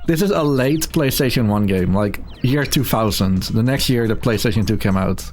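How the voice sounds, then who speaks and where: A man's voice: lowish voice, normal speed, faint music behind. A man giving casual, joking commentary as he streams a video game. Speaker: streamer, speedrunner.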